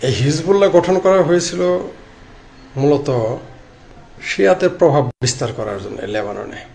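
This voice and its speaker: A middle-aged man with a Bangladeshi accent gives informal political commentary to camera, speaking haltingly in short broken phrases. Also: fairly high pitch, sing-song, darkish tone. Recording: clearly noisy background ambience.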